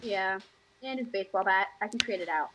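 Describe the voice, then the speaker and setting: A woman's voice: mid-range voice, fairly quick, bright, forceful tone, faint music behind. A woman chatting casually as a player in a role-play game.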